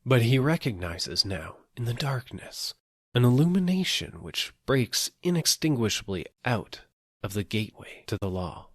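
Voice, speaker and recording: A man narrating an audiobook, telling a literary story in a measured, deliberate way, phrase by phrase. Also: medium pitch, big pitch swings, no echo, clean studio sound.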